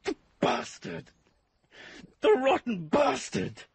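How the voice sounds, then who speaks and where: A man's voice: very high voice, sing-song, slow, vocal fry, breathy edge, dry recording, full character voice. An actor playing a secretive, emotional young man with a tender, obsessive streak, in radio drama style. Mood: furious.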